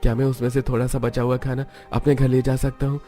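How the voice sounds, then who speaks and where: A humble working father voiced by a man in a cartoon tale. He sounds earnest, weary and downcast at a middle pitch, with music playing behind. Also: monotone, quick pace, mellow, lightly acted. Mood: humble pleading.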